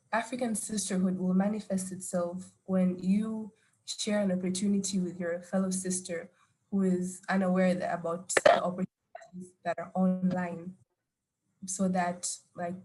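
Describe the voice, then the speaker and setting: A woman with a Tanzanian accent giving an informal talk in a hesitant, halting way. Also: on the low side, mellow, low-quality recording. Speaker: speaker at an online women's gathering.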